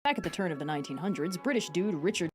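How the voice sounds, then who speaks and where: A woman's voice: on the low side, lively intonation, racing, breathy edge. A woman reading scripted folktale narration in a wry, humorous manner. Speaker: storytelling narrator. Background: background music.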